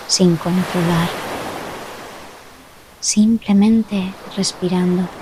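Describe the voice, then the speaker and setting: A woman narrating a guided relaxation meditation, speaking gently and soothingly with long pauses between short phrases. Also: on the low side, background noise. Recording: loud ocean waves behind the voice. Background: sound of sea waves.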